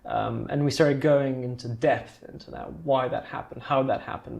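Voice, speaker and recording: A man from Romania talks as a podcast guest in a mid-pitched voice with plenty of gravelly creak. He explains things in a casual, conversational way, often checking in with the listener. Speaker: podcast guest, art project creator.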